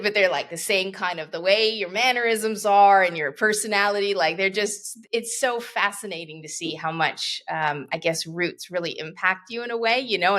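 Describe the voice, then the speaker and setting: A woman's voice: mid-range voice, a bit bright. A woman in her fifties from Canada, a guest coach talking in a reflective, rambling way with many asides. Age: 50s. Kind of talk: casual interview conversation.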